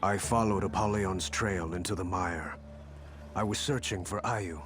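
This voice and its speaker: Low-voiced, wry samurai warrior. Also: male voice, unhurried, noisy background. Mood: wry, sombre. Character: world-weary male samurai warrior recounting his campaign. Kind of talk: dramatic video game narration and dialogue.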